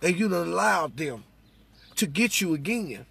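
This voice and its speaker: A man's voice: fairly high pitch, big pitch swings, normal speed, vocal fry, fairly bright tone. A middle-aged American man preaching off the cuff in conversation, emphatic and repetitive, as if testifying. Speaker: religious speaker. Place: inside a parked vehicle.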